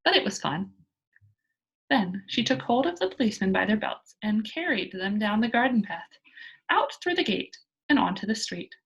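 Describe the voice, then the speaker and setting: Animated, slightly husky woman reading aloud. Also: medium pitch, moderate pace, highs cut off. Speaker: children's book reader. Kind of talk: expressive read-aloud storytelling.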